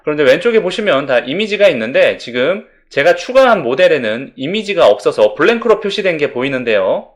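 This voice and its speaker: Higher-voiced man, very lively tutorial narration. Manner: formal, polite instructional delivery. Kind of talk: scripted step-by-step narration.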